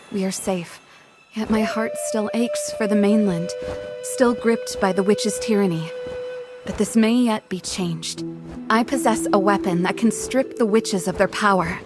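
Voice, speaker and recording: A gentle young woman from a fantasy game, played by an actress, narrates a letter in a medium-pitched voice. She is tender, hopeful and formal in phrasing, with music clearly playing behind her. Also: natural voice. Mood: tender, wistful. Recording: studio voice over background music.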